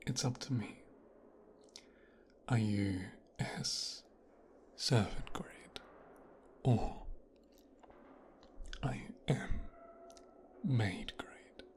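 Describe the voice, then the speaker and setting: A man playing a possessive, coldly calculating young mafia boss's son in a hushed, breathy ASMR roleplay, speaking slowly and deliberately. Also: on the low side, a little hiss or hum. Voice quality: hushed, breathy, half-whispered. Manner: slow, deliberate, musing with pauses.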